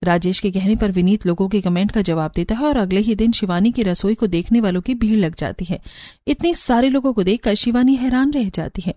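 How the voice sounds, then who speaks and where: A woman's voice: medium pitch, fairly quick, mellow, no echo, studio quality. A woman narrator reading a scripted story for a cartoon.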